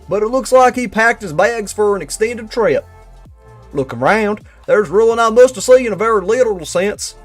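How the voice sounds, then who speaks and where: A man's voice: high-pitched, voice pushed up. A man voicing a folksy cowboy employee with a Southern US accent and a theatrical drawl, reading out an investigation report. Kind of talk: character reading of a written report.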